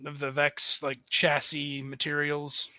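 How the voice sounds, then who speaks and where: Man, podcast guest, casual gaming talk, fairly high bright voice, dry muffled lo-fi recording. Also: completely flat delivery, measured pace, no echo. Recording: dull, dry lo-fi voice channel.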